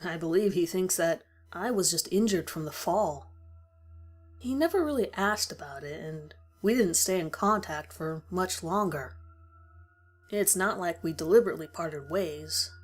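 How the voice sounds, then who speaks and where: A woman's voice: on the low side, normal speed. A woman reading a first-person horror story as a dramatic scripted narration. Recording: music behind the voice. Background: music.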